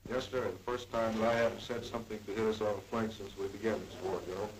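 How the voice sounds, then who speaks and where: American male officer for a staff briefing. Lowish voice, matter-of-fact, off-mic on old hissy film. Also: flat delivery, fast talker, a crowd in the background. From United States. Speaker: army intelligence officer briefing a superior. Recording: 1940s newsreel, distant mic, faint hiss.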